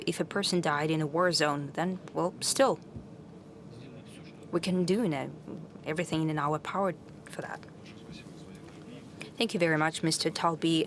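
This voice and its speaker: A woman gives simultaneous interpretation in a very low voice. Her delivery is formal and measured, with small restarts. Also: slight background noise.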